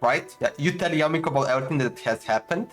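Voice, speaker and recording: A man's voice: on the high side, fast. A young man giving casual, informal game commentary in a chatty, easily baffled way. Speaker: gaming streamer. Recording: game music behind the voice.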